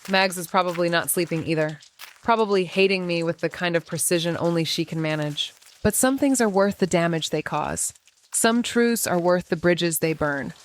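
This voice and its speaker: A woman's voice: on the low side, normal speed, a little hiss or hum, close to an everyday voice. A woman playing a brooding, guilt-ridden amateur sleuth, narrating a noir audio drama in the first person. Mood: brooding, resolute.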